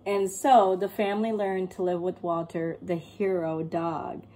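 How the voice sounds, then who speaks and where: A woman reading a picture book aloud as an expressive storyteller, acting out the tale and explaining as she goes. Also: on the low side, a little hiss or hum. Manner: acts out characters and pauses to explain words. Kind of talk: expressive children's read-aloud.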